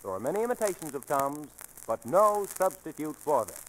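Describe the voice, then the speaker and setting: A man with an American accent delivers a vintage radio commercial. His voice sits a little high, with emphatic, careful wording. Faint noise from the old 1940s recording runs underneath. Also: sing-song, moderate pace, a bit bright, rough recording. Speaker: commercial announcer. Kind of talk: 1940s radio advertising read.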